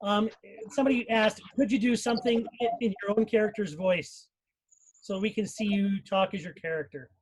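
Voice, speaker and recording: A man's voice: sits high, steady tone, moderate pace, slight background noise, rough recording. A man hosting a casual live panel, passing questions along in informal talk. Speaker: livestream panel host. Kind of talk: casual moderating chat.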